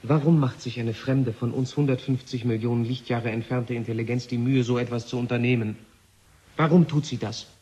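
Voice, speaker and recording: An actor playing a stubborn, alarmed physicist in a 1970 German radio play, insistent and argumentative. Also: male voice, medium pitch, fairly even intonation, brisk, warm tone, a little hiss or hum, lightly acted. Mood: insistent, warning. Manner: insistent, argumentative, rhetorical questions. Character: stubborn, alarmed physicist warning against an alien machine.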